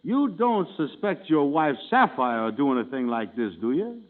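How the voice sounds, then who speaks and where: A pompous, fussy insurance salesman with a somewhat high voice that lilts up and down. He speaks with airy self-importance in the manner of 1940s radio comedy. The sound is tinny, like an old broadcast. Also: very animated, normal speed. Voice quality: pompous, fussy, word-mangling.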